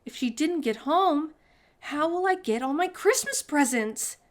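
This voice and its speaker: Woman as whiny, high-voiced young girl Sophie. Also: animated, unhurried. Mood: worried. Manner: whiny, dramatic. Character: stubborn, whiny young girl Sophie, bratty but soft-hearted.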